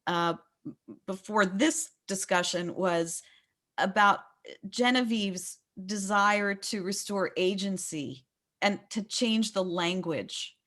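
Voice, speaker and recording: Medium-pitched woman in conversational book discussion. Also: slow pace, fairly bright tone. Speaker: discussion leader at a book talk.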